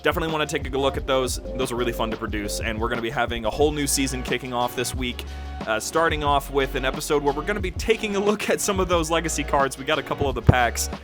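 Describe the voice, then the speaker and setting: Man, mid-range voice, podcast host, informal and emphatic, upbeat channel update, clear music bed behind. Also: medium pitch, fast. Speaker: podcast host and producer giving a channel overview. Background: background music.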